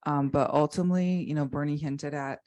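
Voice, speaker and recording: A woman with a low-pitched voice gives an unscripted panel answer. She speaks haltingly, often repeating a word before moving on, as a board member explaining things would. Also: steady tone, mellow, dull audio.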